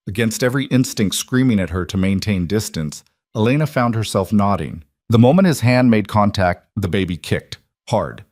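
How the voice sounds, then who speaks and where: Low-voiced man narrating dramatic fiction. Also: a little mellow, no echo, clean studio sound. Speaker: audiobook narrator. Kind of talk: scripted dramatic romance narration.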